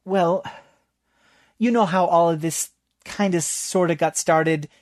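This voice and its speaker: A man voicing a frantic, scheming young female student who rambles in a high, pleading voice. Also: slow pace, dry recording, studio quality. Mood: sheepish.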